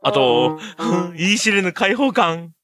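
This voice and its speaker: Woman as easygoing low-voiced young guy. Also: sing-song, slow pace, over music. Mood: carefree, sheepish. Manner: casual, laid-back, slangy. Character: carefree, shirtless, easygoing young man shivering in cold. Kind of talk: comic audio drama acting.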